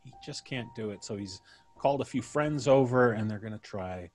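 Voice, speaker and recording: A man's voice: medium pitch, moderate pace, darkish tone. A man hosting a live show, chatting casually and introducing a story with a theatrical storyteller's delivery. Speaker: podcast host.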